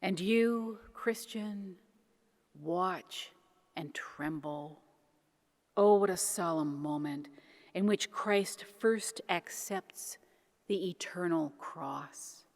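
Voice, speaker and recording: Slow, solemn woman in a reverberant hall. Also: fairly low pitch. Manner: deliberate, grave, declamatory with pauses. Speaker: reader of devotional poetry, minister. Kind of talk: solemn dramatic poetry reading. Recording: long church-like reverberant hall echo.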